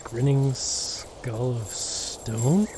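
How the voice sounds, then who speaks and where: An actor playing an earnest young noble hero in a fantasy audio drama, measured and expressive. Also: male voice, mid-range voice, slight background noise. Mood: pondering.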